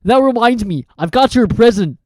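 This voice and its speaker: A reader voicing a huge, soft-hearted, bearded male groundskeeper who talks loudly and roughly. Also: medium pitch, lively intonation, fairly quick, no echo, pitched above the performer's own voice, lightly acted. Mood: gruff. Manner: loud, blubbering and rough-spoken.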